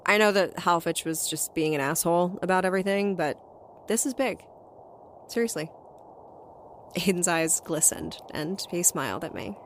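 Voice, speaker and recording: A woman's voice: on the low side, expressive, normal speed, noisy background. An actress playing a tough, sardonic young woman who talks bluntly and narrates her own story in an audio drama. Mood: wry, fond. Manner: wry, blunt, foul-mouthed. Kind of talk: audio drama dialogue and first-person narration. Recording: music bed under the voice.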